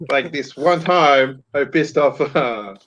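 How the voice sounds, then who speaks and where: A man's voice: medium pitch, takes it slowly, fairly bright tone, muffled recording. A man in a casual group chat who tells stories at an easy pace and often breaks into laughs. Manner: laid-back, laughs often, storytelling.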